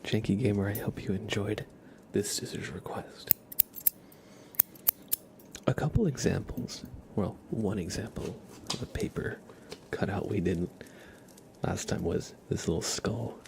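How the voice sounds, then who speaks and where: Male ASMR streamer, low gravelly murmur sliding into whispers, gentle and friendly, close-miked. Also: unhurried, pauses often, background noise. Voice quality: soft-spoken, often dropping into whisper. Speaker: livestream host. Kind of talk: ASMR, chatting with viewers. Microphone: close ASMR microphone.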